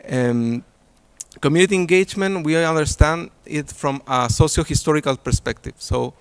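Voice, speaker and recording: A man's voice: on the high side, moderate pace, clean tone. Man presenting an academic talk. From Chile. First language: Spanish. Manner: hesitant, many fillers and restarts. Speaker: conference presenter.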